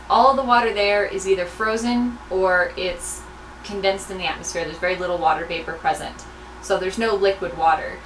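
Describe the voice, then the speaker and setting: Woman, medium pitch, casual explanatory delivery, roomy echo, faint background noise. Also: a bit bright. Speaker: research crew member answering students' questions.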